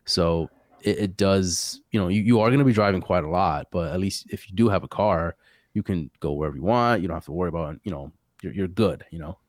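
A man hosting a casual gaming podcast, stuffy from a cold, chatting in a relaxed way with lots of fillers. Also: deep voice, brisk, dry recording, studio quality. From Puerto Rico. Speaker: podcast host.

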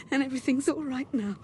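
An actress playing a distraught, guilt-ridden mother in a radio horror drama, with a halting, breathless and emotional delivery. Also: high voice, a little mellow, slight background noise, lightly acted.

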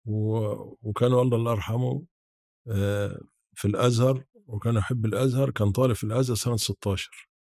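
A man in his seventies with an Egyptian accent, reminiscing in an interview in a halting way with repeated false starts. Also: on the low side, fairly even intonation, vocal fry, a little mellow, no echo, studio quality. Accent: rural-born Egyptian Arabic. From Sharqia, Egypt. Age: 70s. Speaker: podcast guest, academic.

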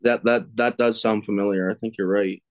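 Low-voiced man fumbling for trivia answers. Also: steady tone, normal speed, no echo. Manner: fumbling, self-deprecating, swears when stuck. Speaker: podcast guest, film critic. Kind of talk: casual chat.

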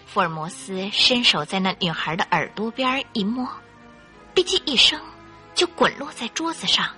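A woman narrator tells a story in dramatic radio style. Her voice is bright and crisp-edged at a middle pitch, with lively rises and falls over faint music. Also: moderate pace.

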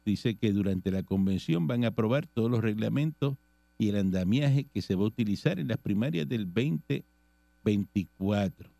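A Puerto Rican man hosts a radio talk show, reading political items aloud and then chatting about them. His voice is gravelly and mellow. The sound is dry and close. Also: lowish voice, lively intonation, moderate pace, no echo. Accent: Puerto Rican Spanish. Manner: reads news items and comments on them conversationally.

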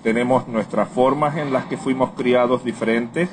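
A man gives measured, formally worded answers to a survey. His voice sits at a middle pitch, with clear noise around him. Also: steady tone. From Puerto Rico. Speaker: survey respondent. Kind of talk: formal spoken interview answers. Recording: noisy on-location interview recording.

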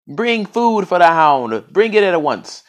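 A man's voice: big pitch swings, unhurried. A man reading aloud and voicing a terrified king who pleads in a panic. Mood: panicked. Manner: panicked, high-pitched pleading. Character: frightened old king, quaking and pleading.

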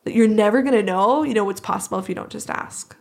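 A woman's voice: mid-range voice, fast, creaky, a little mellow. Earnest woman in a reflective podcast chat. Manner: earnest, appreciative. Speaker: podcast host being interviewed. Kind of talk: reflective conversational interview.

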